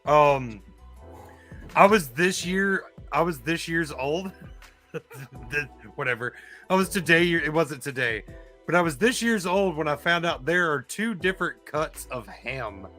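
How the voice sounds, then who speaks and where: Male trivia contestant on a casual stream, crisp bright tone, soft music behind him. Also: on the high side, unhurried. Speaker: player in a live trivia game. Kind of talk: casual chat. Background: faint music.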